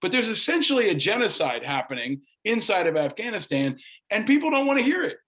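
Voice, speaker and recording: A man with a slightly high voice hosts a political interview show. He talks with insistence, building long, probing questions point by point. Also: very animated, a little fast, a bit bright.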